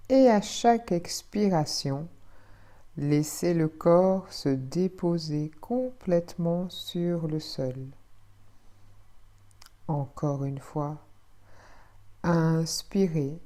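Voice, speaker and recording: A woman teaching yoga nidra, guiding a relaxation meditation in a soothing, hushed, measured manner with gently repeated phrases. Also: deep voice.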